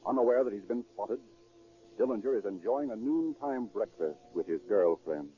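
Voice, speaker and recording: A man's voice: fairly low pitch, lively intonation, normal speed. Tinny 1930s male radio narrator.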